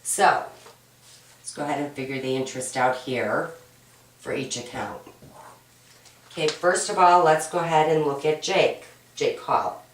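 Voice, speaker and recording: A woman teaching an accounting homework walkthrough, hoarse and raspy from a cold, patient and step-by-step, often checking in with "right?". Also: deep voice, unhurried, pauses often, slight background noise. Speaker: accounting instructor. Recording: roomy echo, mic at a distance.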